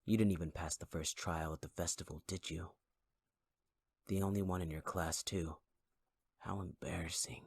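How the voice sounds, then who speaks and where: Man doing a cruel fire villain, very deep voice, husky, dry close mic, taunting. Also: fairly even intonation, normal speed, long pauses, no room sound. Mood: mocking. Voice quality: husky low voice, close whispers. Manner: mocking, cruelly patient, intimate. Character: taunting scarred young male fire villain. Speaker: voice actor in audio roleplay. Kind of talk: ASMR audio roleplay acting.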